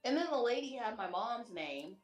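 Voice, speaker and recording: Woman streamer, animated storyteller, pitches her voice up from a deeper natural tone. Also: moderate pace. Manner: animated venting, acts out quoted voices. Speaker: stream co-host. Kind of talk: casual chat. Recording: music playing behind.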